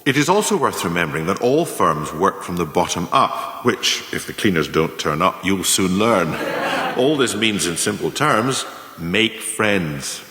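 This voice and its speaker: A man playing a male lecturer in a radio play, giving a measured, formal, didactic monologue on scheming career advancement. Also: low voice, sing-song, pushed and bright, a little hiss or hum, heavily acted. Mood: measured, instructive. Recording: echoey room with long reverb.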